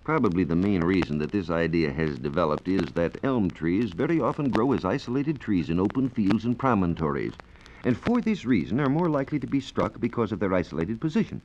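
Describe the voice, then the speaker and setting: A man explaining science in a measured, precise way as a reporter on a 1940s radio program. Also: low-pitched, sing-song, darkish tone. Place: radio studio. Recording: dull, noisy 1940s radio recording.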